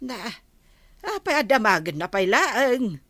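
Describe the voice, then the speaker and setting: Give an excitable, gossipy older village auntie, acted by a woman in an Ilocano radio comedy. Her voice is fairly high and very lively, rising and dropping freely. It sounds dry and close. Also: unhurried, dry recording, heavily acted. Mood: scandalized.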